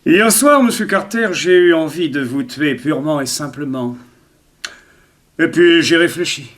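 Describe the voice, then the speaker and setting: A man's voice: a little high, lively intonation, measured pace, a bit bright. An actor playing a rich, mad and courteous villain in a 1960s French radio drama, savouring his polite phrases. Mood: menacing, suave. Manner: polite, savouring, theatrical delivery. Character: smooth, mad, courteous rich villain who collects statues.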